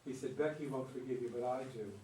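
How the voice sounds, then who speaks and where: A man's voice: mid-range voice, fast talker, warm tone. A man offering his opinion in a casual group discussion. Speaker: play reader giving feedback. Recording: echoey room, distant mic, faint noise.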